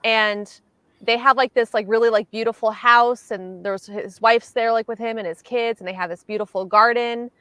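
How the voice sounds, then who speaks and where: Dry-sounding woman, halting casual storytelling. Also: on the high side, fairly even intonation, pushed and bright, clean tone, no room sound. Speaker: host of reading livestream. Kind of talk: casual chat.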